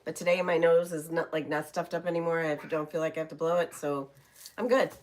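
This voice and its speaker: Low-voiced woman with a head cold. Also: fairly even intonation, brisk, darkish tone. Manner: short interjections and corrections. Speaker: co-host of a stitching live stream. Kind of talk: casual live-stream chat.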